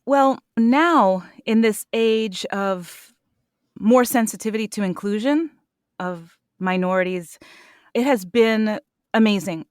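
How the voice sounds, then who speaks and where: A woman voice actor answering interview questions in an earnest, animated way, laughing now and then. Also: mid-range voice, slow pace, no echo, studio quality. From United States. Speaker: voice actor guest.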